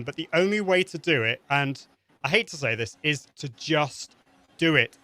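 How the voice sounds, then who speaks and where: Bright-voiced British man giving earnest advice. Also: on the high side, dry recording. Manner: earnest, encouraging, frequent restarts. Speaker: guest expert. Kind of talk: casual interview advice.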